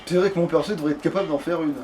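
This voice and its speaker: A man's voice: fairly high pitch, steady tone, rapid-fire, a little mellow, a little hiss or hum. A man chatting casually as a let's player, in a crude, slangy, grumbling way. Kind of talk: casual gaming chat.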